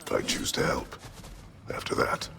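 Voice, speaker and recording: An actor voicing a huge, stern, brooding warrior in a video game, gravelly and rumbling, speaking tersely and solemnly in a reverberant space. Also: male voice, a little slow, bright, forceful tone. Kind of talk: video game character acting. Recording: reverberant, faint ambient noise.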